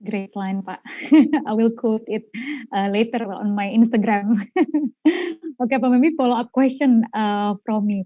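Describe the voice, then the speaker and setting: A woman's voice: medium pitch, no room sound, dull audio. A woman moderating a webinar Q&A on a video call, friendly and polite, laughing easily.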